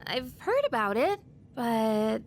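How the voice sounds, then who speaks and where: Feisty high-pitched teenage girl. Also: big pitch swings, slow pace, slight background noise, full character voice. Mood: uneasy, annoyed. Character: feisty, easily provoked, proud teenage girl. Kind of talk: English video game dub acting.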